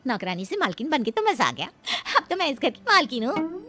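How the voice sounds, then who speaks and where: A woman's voice: sits high, big pitch swings, brisk, bright, forceful tone, exaggerated voice. A woman voicing a brazen, greedy young housemaid in a cartoon family drama, taunting and haughty in a sly way. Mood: gloating. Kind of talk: dramatised cartoon story dialogue.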